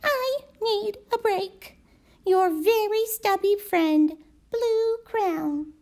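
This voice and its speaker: Woman putting on a high, weary little crayon. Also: extremely slow, a little mellow, full character voice. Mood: weary, plaintive. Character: stubby, worn-out, overworked blue crayon. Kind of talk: children's storybook read-aloud.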